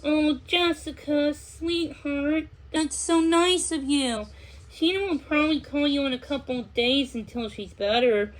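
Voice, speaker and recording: A kindly storybook mother, acted out by a woman reading aloud, high in pitch. She coaxes and teases cheerfully, taking her time over the words. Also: measured pace, poor audio. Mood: sweet.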